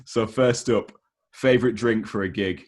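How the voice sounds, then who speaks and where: A man's voice: lowish voice, fairly even intonation, moderate pace. A man with a British accent interviewing in a casual, easygoing, slangy way.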